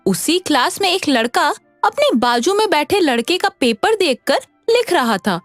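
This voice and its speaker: A woman reading scripted narration for an animated children's story. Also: high-pitched, a little slow, dry recording, faint music behind.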